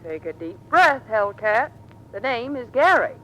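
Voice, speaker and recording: Lively, teasing commander's wife. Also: female voice, on the high side, a little slow. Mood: teasing, welcoming. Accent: American. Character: poised, teasing, hospitable American squadron commander's wife. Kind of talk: old-time American radio drama acting. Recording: noisy vintage radio recording.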